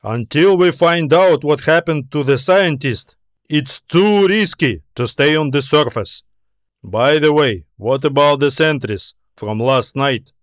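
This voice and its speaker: A man's voice: fairly high pitch, lively intonation, unhurried, no echo, studio quality. A man voicing a fearsome, irritable military admiral who speaks in a commanding, snide way in a science fiction audiobook. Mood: thoughtful, commanding. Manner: commanding, snide, barking questions.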